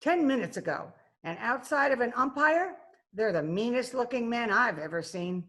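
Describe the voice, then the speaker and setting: A woman's voice: on the high side, expressive, moderate pace, a bit bright. An actress playing a brash, joking, aging cleaning woman in an old-time radio detective drama. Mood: wry. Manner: brassy, joking banter. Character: brash, wisecracking aging scrubwoman, tough and sporty. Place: at home, recorded remotely.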